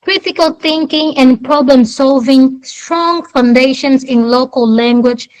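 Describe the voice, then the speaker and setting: A woman's voice: sits high, measured pace, poor audio. A young woman from Angola with an Angolan accent, Portuguese her first language, giving an earnest debate speech and reading out statistics point by point. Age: young adult.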